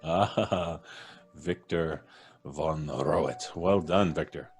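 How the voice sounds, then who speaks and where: Male host, North American, low register. Jovial, casual, playful live-stream banter over faint music. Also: drawn-out words, gravelly.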